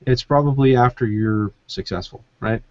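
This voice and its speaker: A man's voice: mid-range voice, normal speed, dry recording, lo-fi sound. A man hosting a discussion podcast, explaining and summing up advice for writers in a conversational way. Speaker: podcast host.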